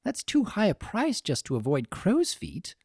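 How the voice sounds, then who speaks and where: A reader voicing a vain, refined fashionista pony mare with a genteel, dramatic and expressive delivery in a story. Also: medium pitch, unhurried, slightly rough, dry recording, clean studio sound, pitched above the performer's own voice, heavily acted. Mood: troubled, morbid.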